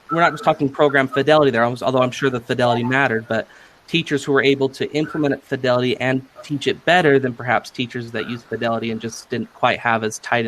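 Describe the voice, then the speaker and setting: A man's voice: mid-range voice, fairly bright tone, low-quality recording. Male podcast host, faint background noise. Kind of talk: conversational interview.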